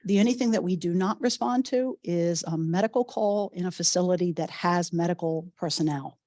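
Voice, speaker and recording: A woman police chief, coming down with a cold, giving a measured, explanatory report at a township meeting. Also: fairly low pitch, mellow, dry recording, studio quality.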